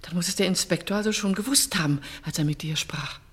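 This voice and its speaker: An actress playing a composed mother with a measured, formal manner in a German radio drama. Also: on the low side, fairly bright tone, slightly rough. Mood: guarded. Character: composed mother relaying grave news.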